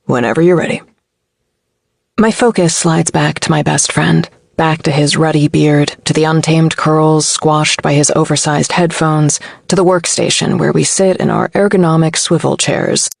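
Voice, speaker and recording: A woman's voice: deep voice, fairly even intonation, moderate pace, creaky, few pauses, no room sound. A woman reading a first-person novel as an audiobook narrator.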